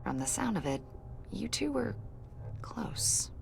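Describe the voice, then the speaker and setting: An actress playing a confident government agent in a video game, questioning in a pointed, insinuating and accusatory way. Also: female voice, medium pitch, big pitch swings, takes it slowly, vocal fry, over music, heavily acted. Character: probing female government agent, confident, accusatory, smoothly needling. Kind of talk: video game dialogue acting.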